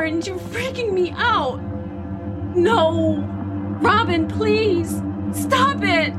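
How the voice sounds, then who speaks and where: A woman acting as a distraught young woman in a horror audio drama. Her very high voice pleads in panicked denial, swinging widely in pitch, with music behind her. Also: heavily acted. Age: young adult.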